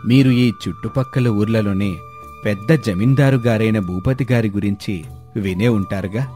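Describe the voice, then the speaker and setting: A man playing a wise, polite village elder in a dramatised storybook tale, speaking in a measured way. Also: lowish voice, warm tone, faint music behind, close to an everyday voice. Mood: persuasive, courteous. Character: courteous, wise village elder, male, calm matchmaker.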